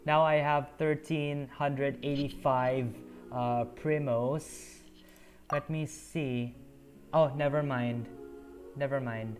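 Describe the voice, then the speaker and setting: A man streaming a video game, chatting casually and haltingly with lots of ums and uhs. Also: mid-range voice, fairly even intonation. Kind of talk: casual gameplay commentary. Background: game music.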